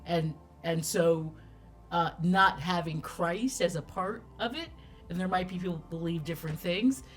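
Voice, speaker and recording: Lowish-voiced woman, thinking aloud. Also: moderate pace, faint music behind. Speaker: talk show co-host. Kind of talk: casual discussion.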